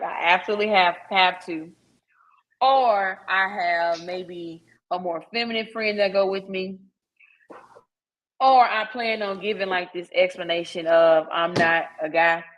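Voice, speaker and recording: Woman podcast host, casual and candid, a bit low in pitch, lo-fi sound. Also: steady tone, measured pace. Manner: chatty and frank, with laughs and filler words.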